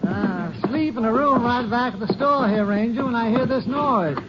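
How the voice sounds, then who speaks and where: A man playing a chatty, folksy rural storekeeper in a 1950s radio drama, rambling as he talks. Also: very high-pitched. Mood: rambling, obliging. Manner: rambling, folksy, drawn-out storytelling. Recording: muffled, very noisy old 1950s radio recording.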